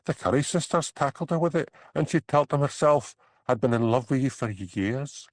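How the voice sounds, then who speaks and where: A man voicing a gossipy Scottish village man who tells tales in an animated way, in an audiobook. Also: medium pitch, normal speed, no room sound, voice pushed up, natural voice. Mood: nosy, eager. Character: Archie, gossipy village man, fond of a dram. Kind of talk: audiobook character dialogue.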